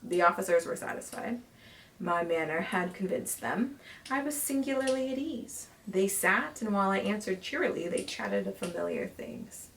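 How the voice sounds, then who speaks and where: A woman reading a gothic horror story aloud in a theatrical way, moving between hushed tones and dramatic swells. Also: mid-range voice, big pitch swings, normal speed. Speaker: amateur reader of classic horror tales. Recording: distant mic, clearly noisy home recording.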